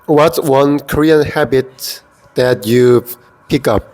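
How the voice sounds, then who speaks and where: A man's voice: mid-range voice, takes it slowly, darkish tone. A man interviewing a guest with short, plain questions.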